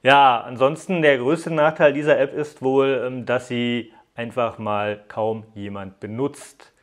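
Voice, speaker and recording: A man's voice: medium pitch, steady tone, measured pace. Young man casually reviewing tech. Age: young adult. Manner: conversational, informal, explanatory. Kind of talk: casual hands-on product review to viewers. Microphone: lapel mic.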